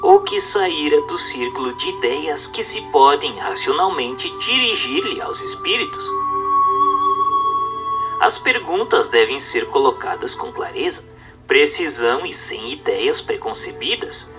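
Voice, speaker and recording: A man's voice: medium pitch, sing-song, a little slow, over music. A man reading a religious text as scripted narration, in a formal, instructive and carefully phrased manner.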